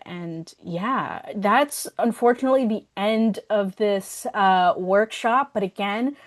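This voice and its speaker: A woman hosting an online workshop, speaking casually and chattily, often interrupting herself as she makes announcements. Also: medium pitch. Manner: informal, chatty, self-interrupting. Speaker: workshop host and event organizer.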